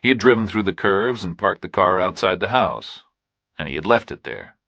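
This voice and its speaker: A man reading a scripted thriller audiobook with measured, clipped, dramatic phrasing. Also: low voice, expressive, gravelly, fairly bright tone, no room sound, lo-fi sound. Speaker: audiobook narrator.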